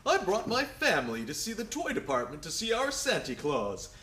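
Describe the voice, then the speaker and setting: An actor playing a blustering, commanding department store boss in a radio play, brusque and emphatic, in an echoing room. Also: male voice, high-pitched, huge pitch swings, normal speed, sharp tone, heavily acted. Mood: angry, commanding. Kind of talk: stage-style radio play acting.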